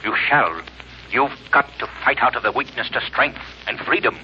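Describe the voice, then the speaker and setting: Casting: commanding, stern invisible crime-fighter, razor-sharp and emphatic, on a scratchy vintage radio drama recording. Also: male voice, medium pitch, lively intonation, normal speed, highs cut off. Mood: stern, accusing. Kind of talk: 1930s radio drama acting.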